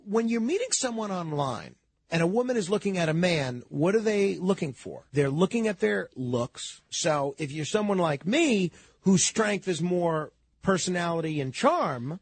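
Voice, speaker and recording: A man talking on the radio in a hesitant, halting, earnest conversational way. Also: fairly high pitch, vocal fry, breathy edge, no room sound. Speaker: radio host.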